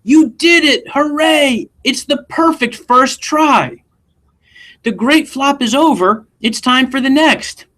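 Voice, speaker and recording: Guy doing a peppy old great aunt from a kids book, high voice, cheering you on. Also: slow pace, exaggerated voice. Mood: elated. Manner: excited, emphatic cheering. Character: exuberant, encouraging elderly great-great-aunt in a storybook.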